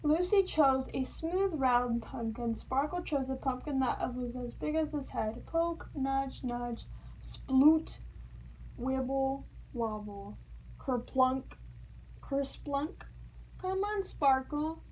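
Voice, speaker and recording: High-voiced woman reading a children's story. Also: takes it slowly, slight background noise, poor audio. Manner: expressive storytelling with playful sound words. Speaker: storybook reader. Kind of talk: children's read-aloud narration.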